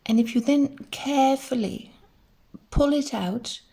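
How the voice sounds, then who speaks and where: A middle-aged woman, chatting gently through a crafting tutorial and thinking aloud as she works. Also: high-pitched, a little slow, vocal fry, husky. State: hoarse. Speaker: crafting channel host. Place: indoors at a craft table.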